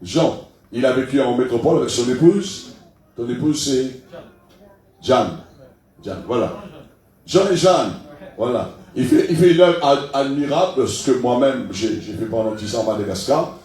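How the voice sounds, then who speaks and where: A man's voice: medium pitch, lively intonation, moderate pace, darkish tone. A middle-aged pastor with a cold making chatty announcements to his congregation, often adding "hein" and hesitating. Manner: chatty, peppers speech with "hein", hesitant fillers. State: has a cold. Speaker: pastor leading the church meeting. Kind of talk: church announcements. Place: church hall. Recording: very echoey hall from far off.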